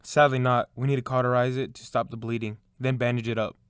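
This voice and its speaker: A man acting a brisk, practical survivor giving orders in an audio drama. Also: medium pitch, flat delivery, smooth, dry recording, studio quality, lightly acted.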